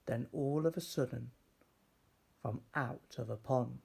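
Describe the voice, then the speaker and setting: A man reading a children's story aloud in a measured, expressive, dramatic way. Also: mid-range voice, creaky, breathy edge. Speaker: storybook reader.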